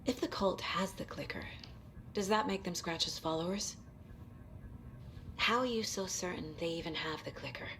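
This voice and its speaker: An actress playing a sceptical, steady investigator in a video game, speaking in a direct, controlled, probing way. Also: female voice, on the low side, moderate pace, long pauses, slight background noise. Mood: firm, sceptical. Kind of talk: dramatic video game acting.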